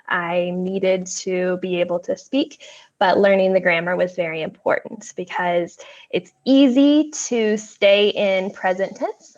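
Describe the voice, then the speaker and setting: A woman, a native English speaker, talking in a relaxed, thoughtful way with frequent ums during an online language exchange. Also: mid-range voice. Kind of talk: casual conversation. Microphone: video call. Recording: dull lo-fi video call audio.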